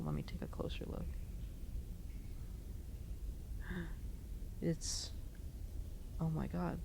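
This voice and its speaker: Creaky-voiced, emotional young huntress acted by a woman. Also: low-pitched, exaggerated voice. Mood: hushed disbelief. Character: emotional young huntress reunited with her lost friend. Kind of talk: dramatic roleplay audio monologue. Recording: noticeable background noise.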